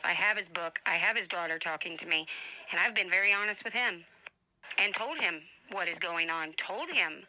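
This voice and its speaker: This is a middle-aged woman with a mid-pitched, strikingly bright and tense voice. She talks informally, as if leaving a long voice message. Her manner is blunt and indignant, defending herself with plain, coarse language. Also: poor audio. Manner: swears freely. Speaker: advocate and online broadcaster.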